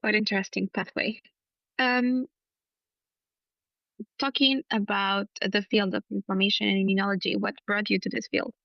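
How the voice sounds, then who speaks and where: A woman's voice: medium pitch, flat delivery, moderate pace, creaky, long pauses, no room sound, dull audio. Woman host reading a podcast intro. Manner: reads intro from a script. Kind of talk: scripted intro and interview questions.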